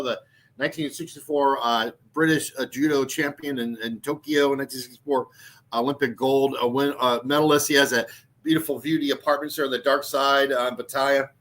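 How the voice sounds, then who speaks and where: A man's voice: fairly high pitch, a bit bright. A man in his sixties talking casually on his channel, meandering with many fillers and restarts and mocking with laughs. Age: 60s. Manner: mocking, laughs at own jokes. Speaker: YouTube channel host. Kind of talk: rambling casual vlog talk.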